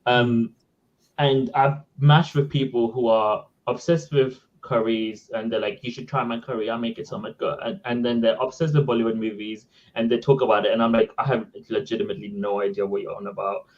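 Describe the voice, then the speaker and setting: A man whose first language is Sindhi, telling stories in casual chat with an exasperated, animated manner. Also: mid-range voice, fairly quick. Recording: dull lo-fi sound.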